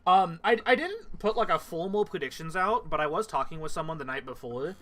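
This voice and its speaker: A man's voice: fairly high pitch, animated, a little fast, a bit bright. A man chatting casually with friends on a livestream, swearing freely as he talks. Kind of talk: casual group chat on a livestream. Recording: music playing behind the voice. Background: background music.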